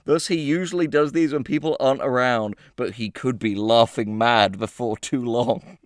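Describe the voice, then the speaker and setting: A man's voice: medium pitch, moderate pace, no room sound, studio quality. A man co-hosting a comedy podcast in casual chat, joking profanely and hyperbolically and reading things aloud mockingly. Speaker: podcast co-host.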